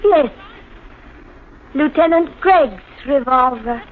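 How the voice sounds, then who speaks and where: An actress playing an unhinged young wife, childlike and possessive, with plaintive melodrama in a 1950s radio thriller style. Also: female voice, sits high, animated, takes it slowly. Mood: cold, menacing. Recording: noisy, tinny 1950s radio recording.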